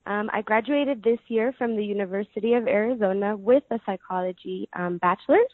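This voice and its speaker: A woman's voice: medium pitch, a little fast, no echo. Hesitant young woman on a tinny line. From United States. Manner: hesitant, many ums, soft laughs. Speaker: guest. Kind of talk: casual interview answers. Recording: dry tinny phone-like line.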